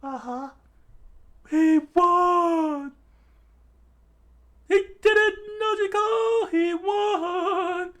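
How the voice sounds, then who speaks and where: A man voicing a fiery young woman navigator who sounds high, bossy and excited. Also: brisk. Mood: overjoyed.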